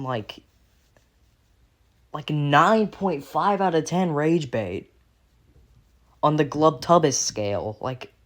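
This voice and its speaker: A young man in an unscripted critical rant as a video commentator, halting and repeating himself as he gropes for words, exasperated and sweary. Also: fairly high pitch. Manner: halting, repetitive, groping for words.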